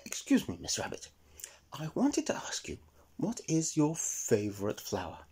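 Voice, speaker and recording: Man doing a polite, breathy little elf. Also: fairly high pitch, huge pitch swings, moderate pace, a little mellow, heavily acted. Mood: politely asking. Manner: tentative, courteous, muttering to himself. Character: polite, curious, earnest male garden elf.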